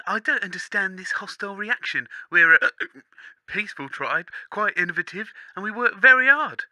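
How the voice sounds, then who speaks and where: Man voicing an earnest, croaking frog person. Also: a little high, bright, forceful tone, dry recording. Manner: earnest pleading with croaked ribbits. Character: earnest male mutant frog-person tribesman, defensive, croaking. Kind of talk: comic news interview in radio sketch.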